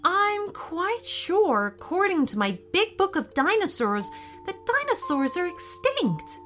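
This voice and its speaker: A woman's voice: very high-pitched, very animated, takes it slowly, fairly bright tone, faint music behind, pitched above the performer's own voice, exaggerated voice. A woman voicing a timid, polite little boy in a storybook, speaking in an earnest, cautious way. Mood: puzzled. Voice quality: shaky when frightened.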